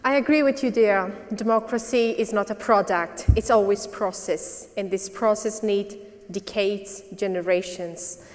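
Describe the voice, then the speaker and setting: A woman's voice: medium pitch, measured pace, a little mellow. A woman on a debate panel arguing insistently in English, repeating her points. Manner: insistent, repeats points, non-native phrasing. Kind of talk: formal debate argument. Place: large televised debate hall with audience. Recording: long hall reverb, audience murmur.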